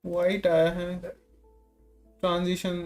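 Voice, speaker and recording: Female game streamer, Indian accent, lowish voice, quick casual chat over music. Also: completely flat delivery, darkish tone. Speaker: game livestreamer. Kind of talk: casual stream commentary mixing two languages.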